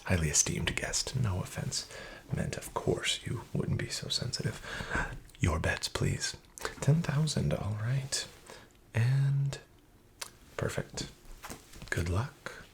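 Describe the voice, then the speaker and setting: A man voicing a sketchy, condescending casino dealer in a soft-spoken ASMR roleplay, half-whispering with false politeness. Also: low voice, gravelly, heavily acted.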